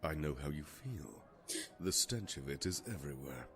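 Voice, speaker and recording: A man's voice: extremely low pitch, lively intonation, moderate pace, fairly bright tone, soft background music, heavily acted. An actor playing an ageless, cursed immortal man, wry and world-weary, in a dramatic audio drama. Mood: wry, grim.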